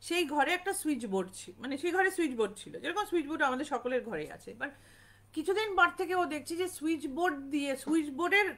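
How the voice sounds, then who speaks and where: A woman's voice: high-pitched, bright, forceful tone. A woman telling a ghost story informally, conversationally repeating phrases for emphasis and lightly acting out dialogue.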